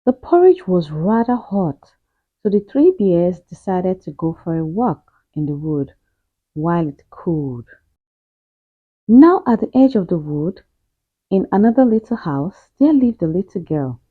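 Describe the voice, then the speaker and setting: A woman reads a children's story aloud as a storyteller, with deliberate, emphatic delivery and drawn-out key phrases. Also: on the low side, big pitch swings, husky, no echo, narrow, tinny audio. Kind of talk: expressive children's storybook narration.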